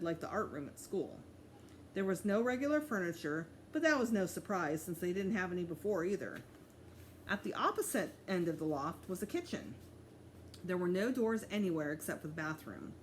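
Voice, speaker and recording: Woman teacher reading a kids book out loud, lively and expressive, bit of noise behind her. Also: on the low side, normal speed. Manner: expressive, acts out dialogue. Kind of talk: storybook read-aloud with character voices.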